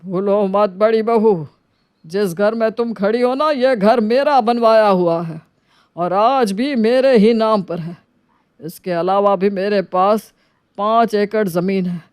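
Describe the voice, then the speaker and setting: A woman's voice: mid-range voice, takes it slowly, darkish tone, clear, resonant tone, no room sound, studio quality, close to an everyday voice. A woman voicing an elderly father in a moral story, hurt and remorseful, pleading with emotion. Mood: firm.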